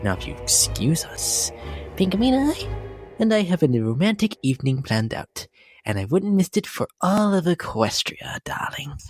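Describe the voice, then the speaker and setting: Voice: medium pitch, sing-song, normal speed, a little mellow, no echo. Someone voicing a theatrical, swooping, unhinged lady pony. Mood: indignant, haughty. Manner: theatrical, genteel, fussy, affected, swooping. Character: vain, unhinged, lovesick lady pony with prim theatrical airs. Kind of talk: dramatic reading of a fan-made character blog. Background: dramatic instrumental music.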